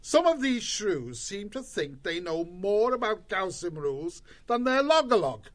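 Gruff shrew boss, as a male narrator puts him on, high, swooping, slow and commanding. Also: very animated. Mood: hearty. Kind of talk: audiobook character voice.

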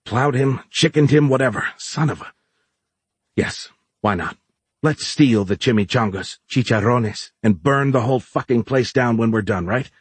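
A man's voice: lowish voice, moderate pace, breathy edge, no room sound. Man acting out a frantic, sputtering fugitive guy. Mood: furious, frantic. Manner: flustered, sputtering, swearing. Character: frantic, rattled, profane man on the run.

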